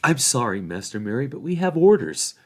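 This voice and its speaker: A man's voice: medium pitch, sing-song, a little fast, pitched above the performer's own voice. A man voicing an old, deferential hobbit gatekeeper with folksy rural speech. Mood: apologetic. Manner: folksy rural grammar, apologetic.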